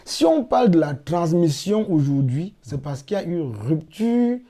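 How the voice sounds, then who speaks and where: A middle-aged man from Cameroon speaks as an interview guest, explaining points patiently and repeating key phrases for emphasis. His voice is mellow and warm, sitting a little high for a man. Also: sing-song. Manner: measured, explanatory, emphatic repetitions. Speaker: interviewed guest, language teacher. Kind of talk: interview answers.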